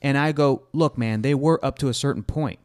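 Animated man hosting a podcast. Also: mid-range voice, a little fast. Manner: animated, argumentative, steers the discussion. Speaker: podcast host. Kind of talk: casual chat.

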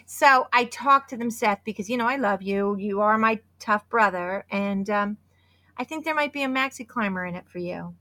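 A woman in her fifties co-hosting a podcast, chatty and excitable, hoarse from losing her voice. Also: mid-range voice, normal speed. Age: 50s. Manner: chatty and excitable, with many restarts. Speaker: podcast co-host. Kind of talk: casual podcast chat with sponsor read.

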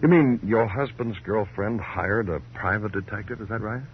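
An actor with an American accent playing a terse, brooding New York police detective in a 1950s radio noir, clipped and hard-boiled. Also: male voice, on the low side, moderate pace, slight background noise, close to an everyday voice. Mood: probing, dry. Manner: clipped, hard-boiled, moody poetic narration. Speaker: lead actor and narrator. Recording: dull old radio recording.

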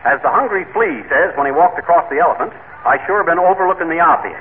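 A man's voice: on the high side, expressive, fast talker, lightly acted. A man playing a brash, wisecracking blowhard husband. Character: boastful bumbling husband. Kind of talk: 1940s radio comedy acting. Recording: tinny old radio broadcast with hiss.

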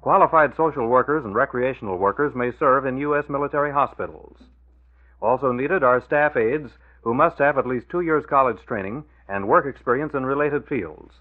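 A man's voice: mid-range voice, moderate pace, narrow, tinny audio. An American man working as a radio announcer, reading scripted copy in a 1940s style. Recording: thin old radio broadcast.

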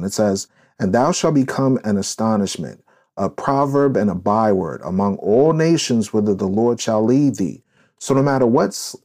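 A man's voice: fairly low pitch, darkish tone. A man hosting a podcast discussion, speaking in an emphatic, preachy, declarative way. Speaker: podcast host.

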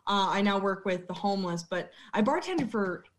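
A woman's voice: mid-range voice, animated, moderate pace, a little hiss or hum. A young woman, a former bartender, chatting as a podcast guest, blunt and swearing freely as she laughs at herself. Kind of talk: casual interview chat.

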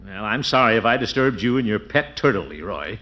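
An actor playing a pompous, blustering middle-aged bachelor uncle in a 1940s radio comedy. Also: male voice, on the low side, lively intonation, brisk, muffled recording, close to an everyday voice.

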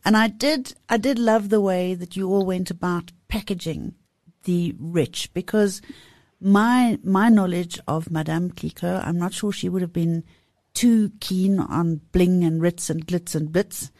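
A woman hosting a radio chat, effusive and playfully teasing her guest. Her voice sits a little low for a woman, and the sound is close and dry with no room echo. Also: a little slow, a little mellow. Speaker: radio show host. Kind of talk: casual chat interview.

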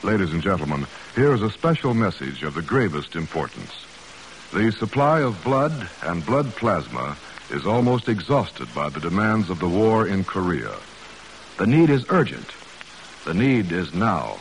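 A man's voice: lowish voice, slight background noise, rough recording. A man announcing on 1950s radio in a formal, emphatic scripted style. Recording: vintage radio broadcast, loud music.

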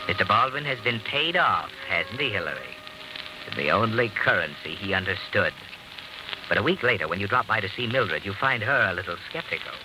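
An actor playing a sardonic, needling narrator who taunts like a guilty conscience in an old-time radio drama. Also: male voice, fairly low pitch, expressive, a little fast, noisy background, natural voice. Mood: sardonic, taunting. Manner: knowing, taunting rhetorical questions. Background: dramatic music.

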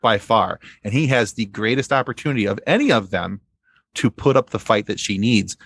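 A man's voice: lowish voice, animated, brisk, fairly bright tone, husky, no echo. A man speaking as a podcast guest in a conversational fan discussion.

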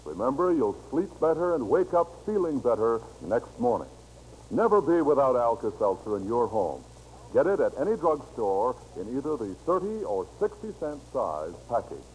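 Animated American radio ad announcer, male. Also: medium pitch, normal speed. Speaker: commercial announcer. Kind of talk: scripted 1940s-style radio advertising read. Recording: faintly noisy vintage radio recording.

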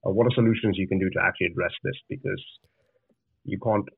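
A man's voice: deep voice, quick pace, gravelly, breathy edge, no echo. A man from Zimbabwe with a Zimbabwean accent, a founder answering interview questions earnestly, often restarting his words. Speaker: guest, startup founder. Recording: dry, tinny phone-like line.

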